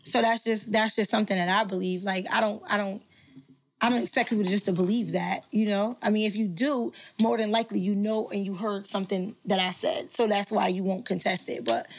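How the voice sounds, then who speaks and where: A woman's voice: mid-range voice, fairly even intonation, brisk. A woman poet talking casually and confidently, rambling with many restarts as she explains her views. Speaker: poet and battle performer. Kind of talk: casual podcast interview.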